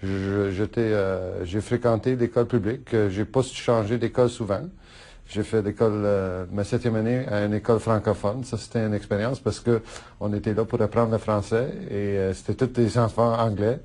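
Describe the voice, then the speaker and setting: A middle-aged man whose first language is Italian, answering interview questions in a halting way with many fillers and restarts, laughing often. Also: lowish voice, fairly even intonation, darkish tone. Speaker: politician interviewee.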